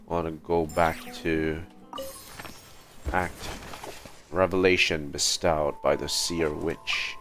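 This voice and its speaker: A woman's voice: rumbling low, steady tone, takes it slowly, long pauses, over music. A woman livestreaming a video game with casual commentary.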